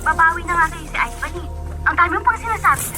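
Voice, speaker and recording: A woman acting a teasing, bossy young female friend who gives blunt advice in a Filipino radio drama, with animated scolding banter. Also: very high voice, rapid-fire. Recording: prominent music behind voice.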